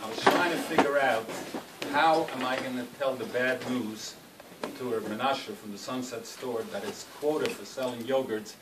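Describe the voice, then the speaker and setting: A man with a cold giving a rambling, self-deprecating farewell speech, full of halting restarts. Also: mid-range voice. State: has a cold. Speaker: speaker at a farewell party. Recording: roomy hall, distant mic, noisy.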